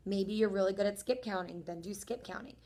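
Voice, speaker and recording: A young woman teacher speaks to her third graders with a voice worn hoarse by the day. She is friendly and encouraging, and she explains each step slowly and plainly. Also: mid-range voice, warm tone. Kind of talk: homework explanation video for students.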